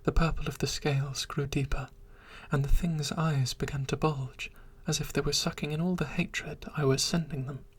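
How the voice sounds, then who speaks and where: A narrator reads a dark tale almost entirely in a whisper, steady and unhurried. The voice is very breathy and airy at a middle pitch. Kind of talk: whispered story reading.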